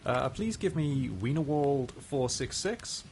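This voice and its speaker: A man's voice: animated, slow. A man playing a polite pig detective in a video game, speaking in a hesitant, courteous way. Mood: polite request. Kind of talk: game character acting. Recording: noisy rumbling ambience.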